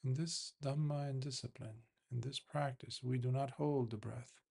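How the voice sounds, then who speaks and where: A man with a medium-pitched voice teaches meditation. He speaks in short, measured phrases and leaves long pauses between them, giving instructions as a Buddhist monk would to students. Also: fairly even intonation, gravelly, warm tone, husky.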